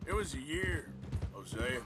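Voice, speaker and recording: Gruff, drawling outlaw cowboy. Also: male voice, medium pitch, big pitch swings, unhurried, loud music behind the voice. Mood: resentful. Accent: American Western. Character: gruff, grudge-holding male outlaw cowboy. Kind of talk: cinematic western game acting.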